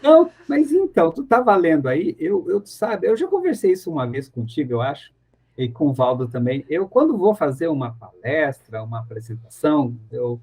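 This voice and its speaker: A man's voice: on the high side, sing-song, normal speed, a little mellow, slightly rough. A Brazilian man speaking as a professor being interviewed on radio, reflective and conversational, often searching for words and repeating phrases. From interior of São Paulo state, Brazil.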